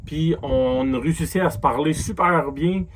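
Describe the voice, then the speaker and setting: Quebec french guy doing a casual gear review, voice a bit on the high side, noise behind him. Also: moderate pace. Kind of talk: casual spoken product review.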